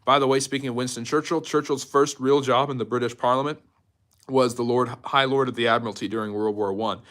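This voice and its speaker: A man speaks in a mid-pitched voice as the host of a casual history live stream. He is chatty and informal, moving between explanation and replies to viewers in the manner of an off-the-cuff lecture. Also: fairly even intonation, fairly quick, fairly bright tone. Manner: chatty, jumps between topics, reads out and answers viewer comments.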